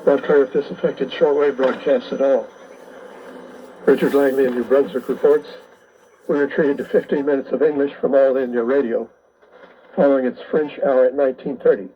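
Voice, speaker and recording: A man hosting a radio program, reading scripted listener reports in a measured, bulletin-like way over a shortwave broadcast. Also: mid-range voice, steady tone, lo-fi sound. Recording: noisy, band-limited shortwave radio reception.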